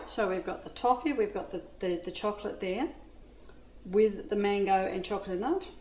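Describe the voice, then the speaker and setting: A woman's voice: medium pitch, normal speed, a little mellow. A middle-aged woman with an Australian accent demonstrating a dessert recipe in a home kitchen, chatty and enthusiastic, addressing viewers as ladies. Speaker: cooking demonstrator. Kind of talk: cooking demonstration. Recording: echoey kitchen with light noise.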